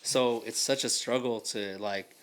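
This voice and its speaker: A man's voice: medium pitch, measured pace, clean tone. Laid-back American man telling stories. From United States. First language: Tagalog. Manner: relaxed storyteller, laughs often, uses slang. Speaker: podcast guest. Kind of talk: casual chat.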